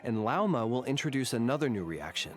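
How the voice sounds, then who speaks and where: A man's voice: mid-range voice, big pitch swings, a little fast. A man co-hosting a game program, delivering a scripted, upbeat recap. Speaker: co-host of game special program. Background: faint music.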